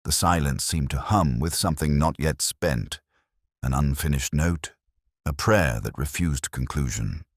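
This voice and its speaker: A man playing a weary, melancholy police inspector, narrating his case in a measured, literary, brooding way as a first-person detective story. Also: very deep voice, dry recording, studio quality. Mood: sombre, reflective.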